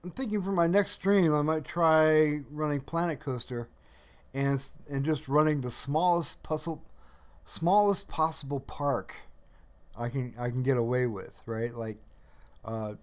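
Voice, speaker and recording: A man chatting casually on a livestream in a halting, rambling way, often searching for words. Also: mid-range voice, clean tone. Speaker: live streamer.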